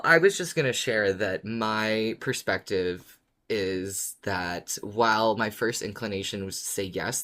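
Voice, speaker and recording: A man co-hosting a podcast, talking casually in a hesitant, measured way as he thinks aloud. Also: lowish voice, a bit bright. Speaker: podcast co-host. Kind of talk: casual discussion of a fiction podcast.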